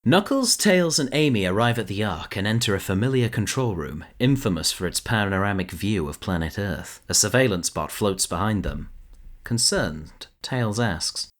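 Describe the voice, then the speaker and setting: Audio drama narrator, low voice, animated delivery, scripted storytelling. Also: moderate pace.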